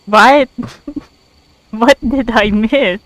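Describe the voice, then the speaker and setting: A woman's voice: a little high, extremely slow. A woman streamer giving casual, offhand reactions while playing a game. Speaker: gameplay streamer.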